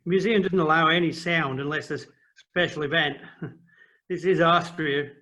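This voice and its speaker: An actor in an audio play playing a helpful American official, reassuring and gently joking. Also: male voice, fairly high pitch, steady tone, normal speed. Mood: genial, joking. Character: helpful, genial American official living in Austria.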